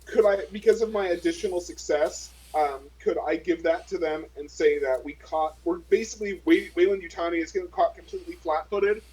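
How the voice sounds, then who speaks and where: A man playing a tabletop game, talking casually and pitching ideas to the group. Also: brisk, few pauses, a little mellow, slightly rough, poor audio.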